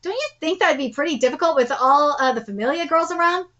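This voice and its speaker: A woman's voice: high-pitched, lively intonation, fast talker, a bit bright, muffled recording. A woman voicing a scheming, smug girl from a manga who plays investigator in a sly, grandiose manner.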